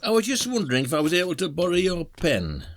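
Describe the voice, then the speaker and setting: An actor playing a blunt, shrewd British police detective in an audio drama, with a colloquial, needling delivery. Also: male voice, high-pitched, wildly swooping pitch, quick pace, exaggerated voice. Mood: dry, assured. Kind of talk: radio drama acting.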